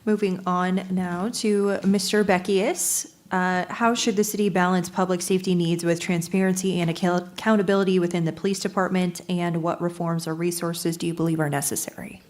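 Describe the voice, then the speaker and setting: Low-pitched woman moderating a forum. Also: level tone, a little fast, hardly any pauses, darkish tone. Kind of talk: formal reading of debate questions.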